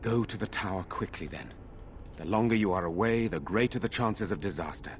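A man's voice: deep voice, animated, fairly quick, a little hiss or hum. A man playing a concerned, loyal nobleman in a fantasy video game, with a grave, earnest way of talking.

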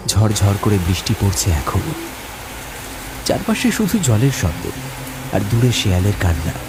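A man's voice: lowish voice, huge pitch swings, normal speed, noisy background. A man narrating a scripted horror story in a dramatic, suspenseful manner.

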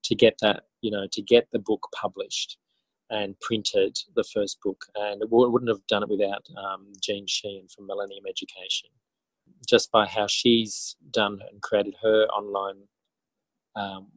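Male interview guest, husky and lowish, casual and halting delivery. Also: little pitch movement, no echo, rough recording. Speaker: interview guest, author and educator.

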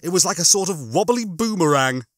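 Man acting smug male hologram, storybook character, high swooping pitch, sneering, mock-cheerful, pompous, drawn-out words. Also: lively intonation, no echo, clean studio sound. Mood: gleeful taunting.

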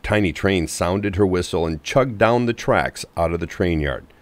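A man reads a children's storybook in a low voice. His narration is expressive and animated, with wide pitch movement, in a close, dry recording. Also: normal speed, no room sound.

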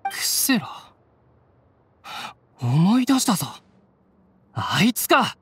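An actor playing a defiant, rough-talking low-ranking bandit in a video game. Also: male voice, very animated, slow pace, a bit bright, dry recording, exaggerated voice. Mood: grudging. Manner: rough, coarse, blustering speech. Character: defiant low-ranking bandit gang grunt, adult man. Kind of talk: Japanese game voice acting.

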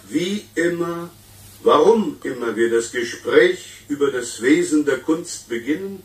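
Ponderous, very slow male professor, echoey. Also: a little high. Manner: declamatory, ponderous. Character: pompous, solemn male essayist professor lecturing on art. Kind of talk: oratorical radio lecture. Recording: echoey, distant tape playback.

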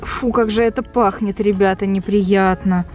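A young woman in her twenties, chatty and lively, talking to viewers and cooing at her cat in a car. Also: mid-range voice, moderate pace, soft background music. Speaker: pet vlogger. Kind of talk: casual vlog talk to viewers. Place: inside a car at night.

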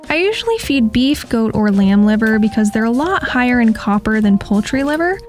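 Female vlog narrator, higher register, scripted instructional voice-over with light music underneath. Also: darkish tone. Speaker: pet channel host. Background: background music.

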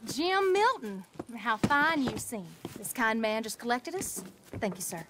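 A woman playing a sharp-tongued, exasperated frontier wife in a video game, with a sarcastic, pointed delivery. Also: fairly high pitch, big pitch swings, unhurried, noisy background. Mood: politely wry. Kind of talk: video game cutscene acting.

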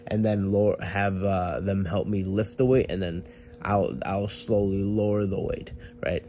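Young male fitness vlogger, low-ish voice, loose and jokey, talks in stops and starts. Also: steady tone, measured pace, warm tone. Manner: rambling, filler-heavy, self-correcting; laid-back, jokey. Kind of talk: casual vlog talk to camera.

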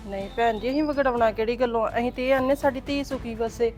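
A woman's voice: a little high, steady tone, quick pace, darkish tone, soft background music, lightly acted. A woman playing a middle-aged mother who comes to see her married daughter, protesting in an earnest and pleading way. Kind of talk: homemade family drama skit.

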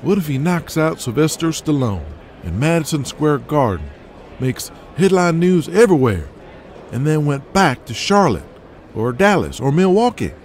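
An actor playing a shrewd, folksy wrestling business adviser in an audio drama, speaking persuasively with the odd hesitation. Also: male voice, a little high, big pitch swings, normal speed, a little mellow, a little hiss or hum. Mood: worried.

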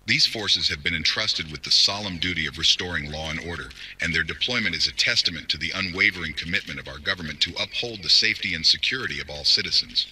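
A man's voice: low-pitched, pushed and bright, a little hiss or hum, rough recording. A man playing a grave, resolute government minister giving a formal, solemn address to the nation in a drama. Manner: solemn, oratorical. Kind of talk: formal scripted televised address.

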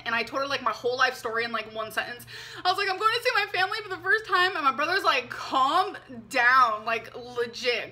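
A woman in her twenties telling a personal story to camera from her bedroom, chatty and animated, with lots of ums and likes. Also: on the high side. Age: 20s. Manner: chatty, animated, frequent "um" and "like", hand gestures. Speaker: vlogger. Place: bedroom, indoors. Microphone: camera mic, seated facing camera.

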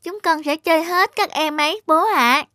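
A woman's voice: high-pitched, normal speed, over music, natural voice. A woman voicing a sweet, earnest little girl in a cartoon, childlike in manner. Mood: eager, proud. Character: sweet, earnest little girl, eager to please her father. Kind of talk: acted cartoon dialogue.

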